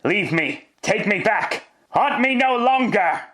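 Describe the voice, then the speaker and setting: A man's voice: high-pitched, expressive, slow, fairly bright tone, roomy sound, voice pushed up, heavily acted. A man playing an anguished old miser who pleads desperately, in classic radio drama style. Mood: frantic.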